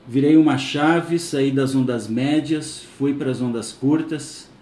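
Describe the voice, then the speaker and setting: A man's voice: medium pitch, unhurried, darkish tone. A man in his fifties with a southern Brazilian accent, telling personal recollections. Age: 50s. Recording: echoey room.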